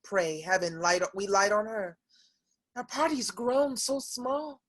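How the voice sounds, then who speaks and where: A woman playing an anxious young Spanish gentlewoman in a period comedy, with hesitant, theatrical old-fashioned speech. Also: mid-range voice, measured pace, lightly acted. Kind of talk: period stage comedy acting.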